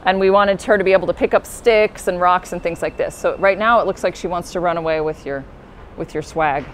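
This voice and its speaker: A woman with a fairly low voice, a puppeteer guest giving casual, friendly explanations with many fillers. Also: a little fast, a little hiss or hum.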